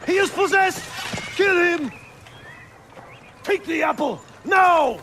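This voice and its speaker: An actor voicing a vengeful, power-hungry usurper in a video game, declaiming and accusing in a dramatic way. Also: male voice, extremely high pitch, lively intonation, takes it slowly, bright, forceful tone, a little hiss or hum, full character voice. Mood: enraged, commanding. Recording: music behind the voice.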